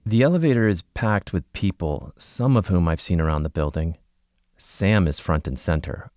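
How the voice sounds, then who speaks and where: Deep-voiced, dry male narrator. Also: expressive, normal speed, a little mellow, no room sound. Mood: wry, tense. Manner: dry, storytelling. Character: wry, uneasy male investigator narrating his own case. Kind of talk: scripted first-person podcast narration.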